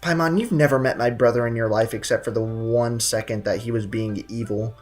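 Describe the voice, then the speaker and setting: Very low-voiced woman streamer, wry. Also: a little fast, a little mellow. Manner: sarcastic, wry, slangy. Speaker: game streamer. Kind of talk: casual gameplay commentary. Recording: faint game music behind.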